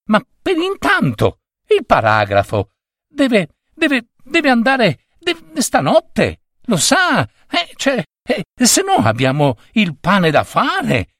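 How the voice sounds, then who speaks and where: A man's voice: high-pitched, huge pitch swings, slow, a bit bright, dry recording, clean studio sound, pitched above the performer's own voice, full character voice. A man voicing a flustered young male print-shop boy who stammers breathlessly. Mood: eager.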